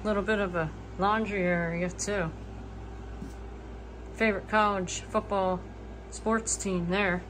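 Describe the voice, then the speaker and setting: A woman's voice: medium pitch, steady tone, slow, pauses often, fairly bright tone, a little hiss or hum, poor audio. A woman in her late twenties giving a casual tour of her small home, talking plainly and listing things as she walks, recorded on a phone. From eastern United States. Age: 20s. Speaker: vlogger. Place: small manufactured home, indoors. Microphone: phone camera, front-facing then walking.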